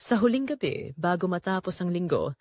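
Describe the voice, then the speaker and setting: Female narrator, Filipino accent, scripted audio drama storytelling, expressive intonation, dry close sound. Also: fairly low pitch, a little fast, dry recording.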